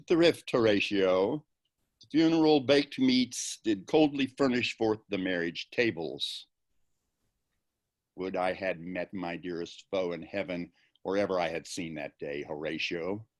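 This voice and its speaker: A brooding, grieving young prince, acted by an amateur male reader, with a lowish voice and a very unhurried pace. The verse is deliberate and theatrical, in the manner of a Shakespeare stage reading. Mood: bitter, grieving. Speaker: amateur volunteer actor. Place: indoors, on a video call. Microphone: video call.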